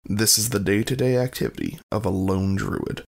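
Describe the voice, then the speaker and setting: A man narrates scene settings from a script. His voice is fairly low with a noticeable fry, suited to announcing the scenes of an audio drama. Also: normal speed.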